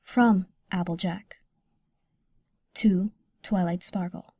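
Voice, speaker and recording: A woman's voice: on the low side, fairly even intonation, slow, mellow, slightly rough, no echo, clean studio sound. A woman reading short headings as scripted narration in an announcer's role.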